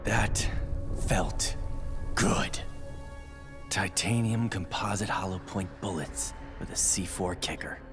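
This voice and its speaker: A man's voice: sits low, takes it slowly, pauses often, music in the background. A man playing a hard, defiant, gun-toting vigilante antihero in a video game monologue. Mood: grim, resolute.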